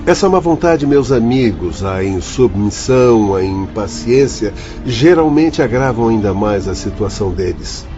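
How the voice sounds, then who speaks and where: A Brazilian man acting in a radio drama as a sober, kindly spirit counsellor who speaks deliberately and formally to his pupils. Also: lowish voice, expressive. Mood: sober, admonishing. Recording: dull audio with faint music.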